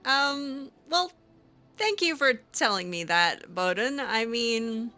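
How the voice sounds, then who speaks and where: Young woman gamer-roleplayer: bright, lively tone, hesitant, filler-heavy delivery. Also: on the high side, slow, soft background music. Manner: hesitant, lots of fillers, laughs easily. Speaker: let's-play roleplayer. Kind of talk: casual in-character game roleplay.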